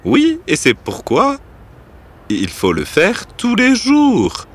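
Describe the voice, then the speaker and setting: A man playing a kind, wise uncle who gently instructs his young niece in an animated fairy tale. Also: high voice, takes it slowly, slightly rough, full character voice. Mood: calm, encouraging.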